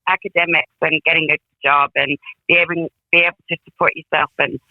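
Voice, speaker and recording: A woman's voice: deep voice, steady tone, fairly quick, dry recording. A woman from England, a guest songwriter, telling stories in a rambling, casual way over a phone line. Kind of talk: casual interview.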